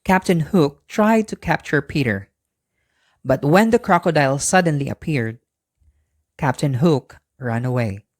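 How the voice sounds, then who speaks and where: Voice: medium pitch, animated, unhurried, no echo, studio quality. A storyteller narrating a scripted bedtime story for children.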